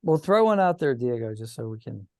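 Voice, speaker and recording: A man hosts a relaxed podcast conversation in an easy, friendly way, pausing on small fillers now and then. His voice sits mid-range. The sound is dry and close, with no room echo. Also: mid-range voice. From Pittsburgh, Pennsylvania. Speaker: podcast host.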